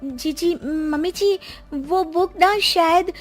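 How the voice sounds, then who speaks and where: Lady doing a timid young daughter-in-law, really high voice, polite and pleading, moral story acting. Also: takes it slowly, faint music behind. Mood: nervous. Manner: pleading, deferential. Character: meek, earnest young housewife daughter-in-law.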